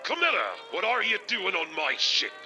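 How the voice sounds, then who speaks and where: An actor playing a boastful, greedy, theatrical pirate captain in an audio drama, with music behind him. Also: male voice, high-pitched, huge pitch swings, normal speed. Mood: alarmed. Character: blustering, greedy male pirate captain with a peg leg.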